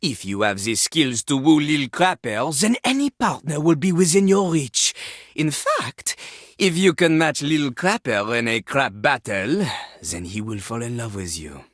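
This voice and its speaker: A woman's voice: a bass voice, expressive, unhurried, dry recording, studio quality, heavily acted. A woman voicing a boastful, vulgar male French rapper toilet in a video game, with a French accent and a pompous, theatrical delivery that slips into rap. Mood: scornful, challenging. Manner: pompous, refers to himself in the third person.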